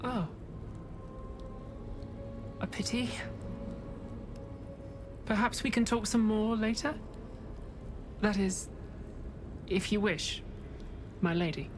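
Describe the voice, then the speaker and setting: An actress playing a gentle, wistful young acolyte in a video game, hesitant and deferential toward an old friend. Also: female voice, mid-range voice, a little slow, long pauses, music in the background, lightly acted. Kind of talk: dramatic video game acting.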